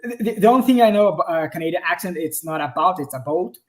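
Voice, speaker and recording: A man's voice: high-pitched, lively intonation, fast. A man from Brazil with a Brazilian accent, a game developer answering questions on a home video call, often hesitating and restarting as he searches for words. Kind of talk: casual livestream Q&A. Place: home office.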